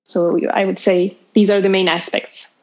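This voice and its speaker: A woman's voice: medium pitch, level tone, normal speed, darkish tone, poor audio. A woman with a French accent, a company representative answering interview questions in an explanatory way, listing points with fillers. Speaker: product representative.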